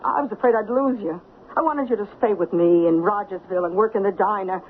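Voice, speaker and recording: A woman's voice: medium pitch, a little fast, close to an everyday voice. Woman doing bubbly american wife, wheedling and disbelieving, old radio play, tinny with some hiss. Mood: amused, coaxing. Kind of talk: 1970s radio drama acting.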